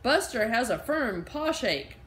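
A woman's voice: fairly high pitch, big pitch swings, measured pace, fairly bright tone, voice pushed up. A woman voicing a cheerful, literal-minded young girl with an earnest, innocent way of talking. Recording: echoey room.

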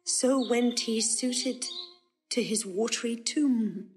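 A woman's voice: a little high, slow, soft background music. A woman playing a sincere young noblewoman disguised as a page, in lyrical classical Shakespearean style. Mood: solemn wonder. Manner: solemn, lyrical verse delivery. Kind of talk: classical Shakespearean stage acting.

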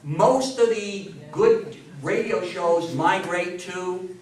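A man's voice: high voice, slow pace, a crowd in the background. Older American man lecturing, distant and roomy. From United States. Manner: animated, conversational, repeats phrases for emphasis. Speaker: public speaker on radio history. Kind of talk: informal live lecture to an audience. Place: small meeting room, indoors. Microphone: far camera microphone. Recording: roomy, noisy, distant camera microphone.

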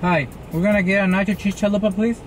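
A man's voice: sits high, steady tone, fast, low-quality recording. A young man chatting casually and ordering from inside a car, picked up by the camera's microphone. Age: young adult. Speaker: prankster ordering at drive-thrus. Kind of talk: casual candid prank vlog. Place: inside a car at drive-thru windows. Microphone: camera mic in the car. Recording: loud music, car noise.

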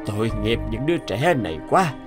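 A compassionate middle-aged cartoon doctor, acted by a man, gentle and deliberate. His medium-pitched voice moves with lively expression over clear background music. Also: close to an everyday voice. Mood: troubled. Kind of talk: Vietnamese cartoon dubbing.

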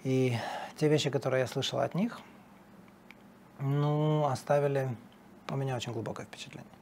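A man's voice: mid-range voice, fairly even intonation, moderate pace, darkish tone, a little hiss or hum. A middle-aged man, a political analyst guest in a TV studio interview, talking emphatically with fillers and restarts, on a lapel mic.

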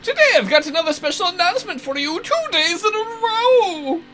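An American man voicing an over-excited schoolteacher with exaggerated, sing-song announcing in a game. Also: very high-pitched, brisk, pitched above the performer's own voice, exaggerated voice. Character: cheery, over-excited schoolteacher addressing a class.

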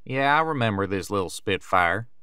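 A plainspoken country man acted by a man in an audio drama, practical and blunt. His voice sits fairly low and is recorded close and dry. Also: animated, moderate pace, sharp tone, clean tone, no echo. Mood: approving.

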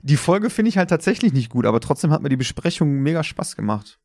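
A man's voice: a little high, sing-song, fast, vocal fry, darkish tone, no room sound, clean studio sound. A man co-hosting a podcast, chatting in a blunt, colloquial way. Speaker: podcast co-host. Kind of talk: casual chat.

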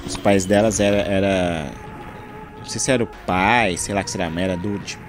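Rambling low-voiced man over gameplay. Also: steady tone, normal speed, faint music behind. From Brazil. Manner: rambling, searching for words. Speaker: gaming channel host. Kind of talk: casual commentary over gameplay.

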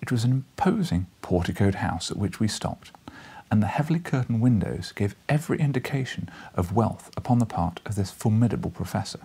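A man's voice: lowish voice, expressive, brisk, creaky, mellow, husky. A man in his thirties reading a literary story expressively as an audiobook narrator. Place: small book-lined living room, indoors.

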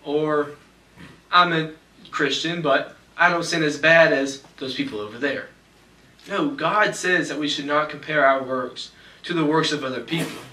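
A man's voice: on the high side, pushed and bright, far from the mic. Young man preaching in an echoey church. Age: young adult. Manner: measured, slows for scripture reading. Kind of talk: sermon. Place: church sanctuary at a pulpit. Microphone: pulpit mic, far camera.

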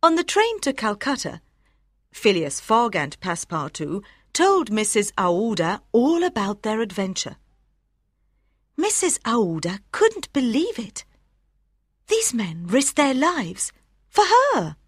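A woman reading scripted storybook narration for language learners, with careful, expressive enunciation. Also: a little high, slow pace, slightly rough, no room sound, studio quality. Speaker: audiobook narrator.

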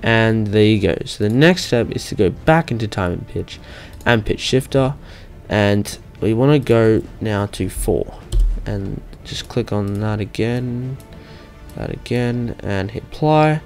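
A young man giving an informal, slightly hesitant step-by-step software walkthrough as a tutorial host. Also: lowish voice, a little slow, faint music behind. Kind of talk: casual software screen-recording walkthrough.

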